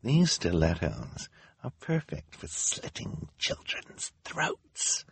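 Storybook villainess, performed by a man: vain and menacing, low breathy whisper, very expressive pitch swings. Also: extremely slow, dry recording, full character voice. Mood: quiet menace. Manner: menacing, sneering. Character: sinister, glamorous, vain villainess.

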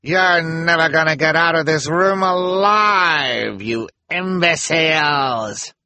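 Guy doing a nasty cackling villain lady, slow sneery threats, pitched way up. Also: pushed and bright, no room sound, exaggerated voice. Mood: menacing. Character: villainous scheming woman, cackling and cruel.

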